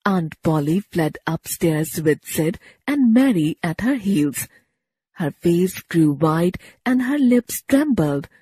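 A woman narrating a children's story in a measured, expressive storybook style. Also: fairly low pitch, husky, no echo.

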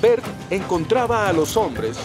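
A Latin American man narrates a children's cartoon story. His voice is slightly high and lively in pitch, with music playing behind it. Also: measured pace.

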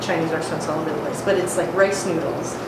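A woman's voice: low voice, fairly even intonation, fast. Bubbly laughing woman in echoey room. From New York. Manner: bubbly, laughs often, many fillers. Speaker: opera singer guest. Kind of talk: casual interview chat. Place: room with plants and wood furniture, indoors. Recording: echoey room from far off, noisy.

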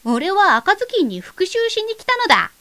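Little boy wolf, acted by a woman, audio drama, blustering and naive, high-pitched, lively swoops, boastful, stammers when flustered. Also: normal speed, heavily acted. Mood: proud, boastful. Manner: boastful rough boyish speech, flustered stammering. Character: small nine-year-old boy wolf, cocky, blustering, naive. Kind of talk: scripted audio drama acting.

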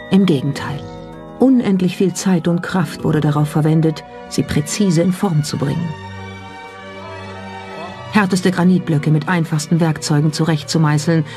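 A narrator reading a scripted documentary. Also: mid-range voice. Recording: music under the voice. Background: background music.

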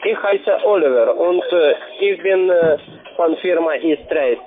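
Casting: male call centre agent, slightly high voice, foreign accent, halting non-native phrasing, phone-quality audio. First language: not German. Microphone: telephone. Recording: tinny narrowband phone line.

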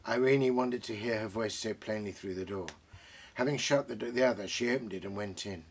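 A British man in his sixties, a host talking about books and reading aloud, reflective and savouring his phrases with deliberate pauses. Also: lowish voice, slight background noise. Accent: British English. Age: 60s. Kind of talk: bookish commentary and reading aloud. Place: small book-crammed study, indoors.

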